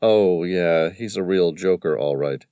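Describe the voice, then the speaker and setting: Calm low-voiced investigator guy, dry and understated, man doing it. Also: measured pace, clear, resonant tone, dry recording. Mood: wry. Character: calm, wry adult male investigator.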